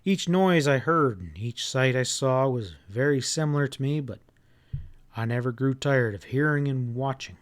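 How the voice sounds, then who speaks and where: A man reading an audiobook as a first-person narrator, in an expressive, folksy storytelling manner. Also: medium pitch, normal speed.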